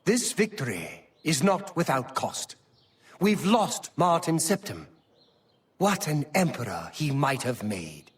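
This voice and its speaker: An actor playing an earnest, formal imperial chancellor in a fantasy game, with declamatory and emphatic delivery. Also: male voice, fairly high pitch, big pitch swings, slow, recorded from a distance. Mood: grave, mournful. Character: earnest imperial high chancellor, formal, stately, concerned statesman. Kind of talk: dramatic fantasy game acting.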